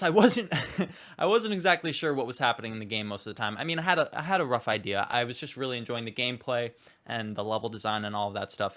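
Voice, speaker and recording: A man's voice: medium pitch, fairly bright tone. A man giving casual gaming reaction commentary in an informal, chatty, rambling way. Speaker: gaming YouTuber host.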